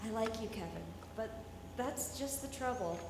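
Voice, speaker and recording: A wry young woman space engineer, acted by a woman in a radio play, self-deprecating and guarded. Her voice sits fairly high. It rings through a very echoey hall with clear noise behind it. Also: expressive, moderate pace. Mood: guarded, regretful. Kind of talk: live radio drama acting. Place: live convention stage performance in a hall. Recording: echoey noisy hall, long reverb.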